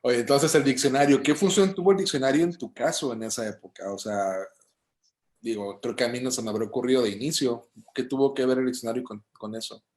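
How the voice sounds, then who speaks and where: A man's voice: mid-range voice, fast talker, a little mellow. Man host asking follow-up questions. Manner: brief prompts and follow-up questions. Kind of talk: casual interview conversation.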